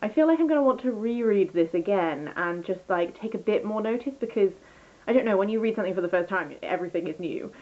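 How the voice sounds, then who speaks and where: A woman's voice: mid-range voice, lively intonation, fast talker, muffled recording. A woman chatting casually through a book review as a vlogger, rambling and enthusiastic, often interrupting herself.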